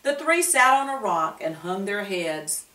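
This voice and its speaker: A woman reads a children's story slowly, her voice swooping up and down with expression and pausing to speak to the listeners. She sounds a little distant, in a room with plenty of echo. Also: mid-range voice. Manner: expressive, slow, with asides to listeners. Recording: roomy room, distant mic.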